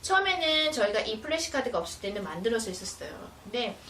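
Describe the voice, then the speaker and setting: A woman with a fairly high, lively voice walks parents through teaching materials step by step. Her delivery is informal and patient. She is recorded a little away from the mic in an echoey room. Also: fast talker. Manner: patient, step-by-step, acts out mother-child example dialogue. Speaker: educator presenting teaching materials. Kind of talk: informal explanatory product demonstration for parents. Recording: roomy, distant mic, faint noise.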